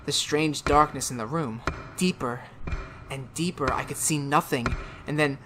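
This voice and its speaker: A woman acts out a haunted killer coming apart in an old-style radio horror play. The voice is lowish and melodramatic, feverishly insisting on sanity in broken phrases. The recording is clearly noisy. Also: measured pace. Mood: hushed dread. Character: tormented murderer Daryl, haunted, unravelling, insisting on sanity. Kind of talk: retro radio horror drama acting.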